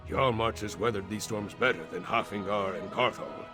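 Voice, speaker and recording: An actor playing a weary, devout Nord jarl who speaks somberly about duty in a video game cutscene. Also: male voice, lowish voice, normal speed, over music, lightly acted. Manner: somber, dutiful.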